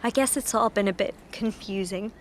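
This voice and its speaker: A woman's voice: mid-range voice, normal speed, a little hiss or hum, lightly acted. An actress playing a troubled, searching daughter in a naturalistic radio drama, direct and wry in the way she talks. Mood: subdued, confiding.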